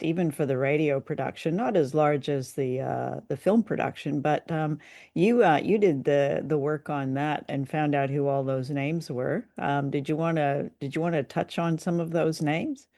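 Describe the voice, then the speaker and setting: A woman's voice: sits low, unhurried, mellow, no echo, clean studio sound. A woman co-hosting a casual discussion of film and radio drama, speaking in a conversational, appreciative way. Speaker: podcast co-host.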